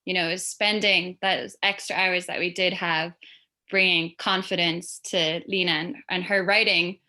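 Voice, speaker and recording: A woman's voice: fairly low pitch, steady tone, measured pace, sharp tone. An Irish woman talking as a creative guest on a video-call panel, chatty and loose, with lots of fillers. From Ireland. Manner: chatty, rambling with many fillers.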